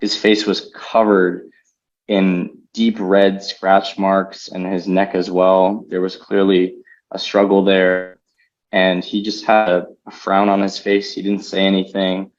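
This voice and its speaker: Male eyewitness from New York, deep and unhurried, interview recollection, dull sound. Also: monotone. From New York, United States. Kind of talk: recounting in a news interview.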